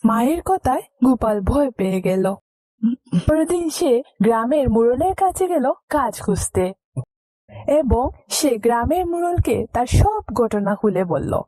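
A woman's voice: on the high side, big pitch swings, a little slow, mellow, no echo, low-quality recording. A woman narrating a scripted moral story for children as a storyteller.

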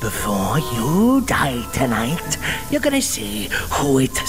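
A man playing a sinister, taunting demon-vampire villain with a drawn-out delivery in a comic book dub. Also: medium pitch, huge pitch swings. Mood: gloating, menacing. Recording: dramatic music behind the voice.

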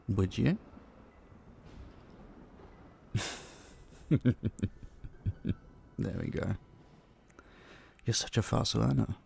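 A man playing an obsessive, possessive vampire captor in an intimate audio drama, hushed, teasing and dominant, menacingly sweet, dipping into whispers. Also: lowish voice, vocal fry, a little hiss or hum, heavily acted. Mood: amused, possessive. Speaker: voice actor. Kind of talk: intimate roleplay audio drama, addressing the listener.

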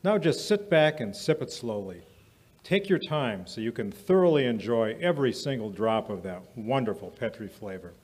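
A man playing an affable 1940s radio announcer and pitchman, theatrical and deliberate on a live stage. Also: expressive, darkish tone, natural voice. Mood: smooth selling. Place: small stage in a museum, live performance. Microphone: vintage-style stand microphone.

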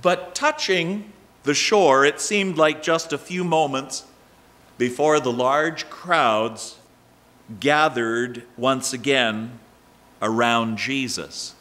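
Older male pastor, slow deliberate delivery, bright tone, pulpit mic in a church sanctuary. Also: medium pitch. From Canada. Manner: measured, expressive preaching with emphatic rises. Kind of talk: sermon.

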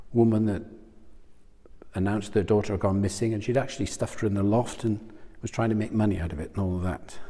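An older man in his sixties gives a conversational sermon into a lapel mic, his voice sitting low. He often turns to the listeners with questions. A long reverb from the room trails behind his words. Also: dark tone. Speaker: church speaker. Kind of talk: sermon talk. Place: small church studio room in front of a screen.

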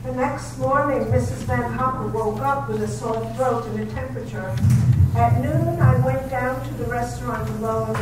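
A woman's voice: fairly high pitch, lightly acted. A woman playing a timid, polite young lady's companion in a 1930s style radio drama. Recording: very echoey hall, distant, noisy.

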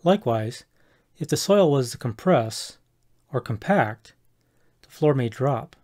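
Measured man narrating a DIY build. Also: medium pitch, lively intonation, a little mellow. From Colorado, USA. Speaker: homeowner explaining a DIY project. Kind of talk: scripted voice-over narration.

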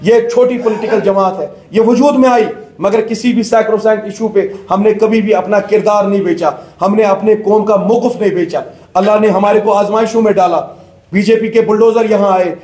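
A man's voice: high-pitched, darkish tone. A man, a political candidate giving a fervent speech and switching into English phrases. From Kashmir. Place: indoor press room with banners. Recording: echoey room.

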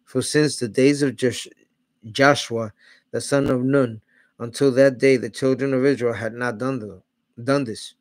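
A man's voice: medium pitch, a little slow, no echo. Middle-aged guy reading the bible into a desk mic, dry close sound, trips on names and fixes them. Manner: steady reading with slips and self-corrections on names. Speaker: Bible reader. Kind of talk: reading scripture aloud. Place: small home studio, indoors. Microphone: large studio mic on a desk arm.

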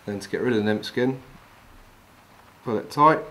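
A middle-aged man from England calmly talking through each step of tying a fishing fly while he works with his hands. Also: on the low side, normal speed. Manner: calm, practical, talks while working with his hands. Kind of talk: step-by-step demonstration. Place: wooden lodge room, indoors.

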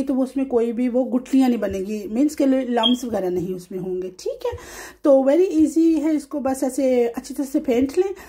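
A woman's voice: high voice, warm tone. A woman from Pakistan in her thirties hosting a home cooking video, talking casually and mixing English words into her speech with frequent check-ins to viewers. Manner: chatty, mixes English into Urdu, frequent "theek hai ji" check-ins. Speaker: cooking channel host. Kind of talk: cooking tutorial voice-over. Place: home kitchen.

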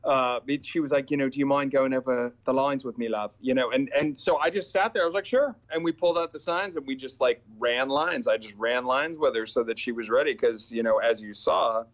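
A man in his forties recounts his time on set over a video call, slipping into the voices of the people he quotes. His voice sits at an ordinary middle pitch. The audio is a little dull and lo-fi. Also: a little fast, hardly any pauses. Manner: animated storytelling, acts out quoted exchanges. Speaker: actor guest. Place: small indoor room with purple backlight. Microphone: webcam video call.

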